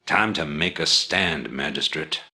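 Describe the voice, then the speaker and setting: An actor playing a persuasive, authoritative male rebel leader giving formal, measured mission briefings in a video game. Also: a bass voice, bright, forceful tone, heavily acted.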